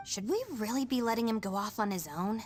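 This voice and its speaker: A woman's voice: on the high side, brisk, darkish tone, faint music behind, close to an everyday voice. An actress playing a kind, dutiful young woman in a video game, earnest and considerate. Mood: caring. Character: kind, capable, dutiful young female subordinate.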